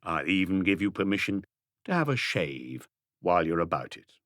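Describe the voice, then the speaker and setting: Male reader playing police inspector, low voice, calm, ironic, probing, unhurried, dry detective audiobook recording. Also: no echo.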